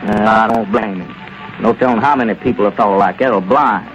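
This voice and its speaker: An actor playing a plain-spoken country friend with chuckling asides in a 1930s radio comedy. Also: male voice, on the low side, moderate pace, a bit bright, faint music behind, lightly acted. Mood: friendly. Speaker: townsman Dick. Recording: lo-fi old radio broadcast.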